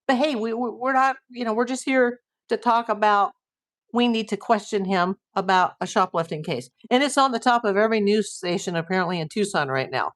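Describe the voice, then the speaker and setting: A middle-aged woman hosting a casual livestream, chatting and reading viewer comments while losing her voice. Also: fairly high pitch. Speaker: livestream host.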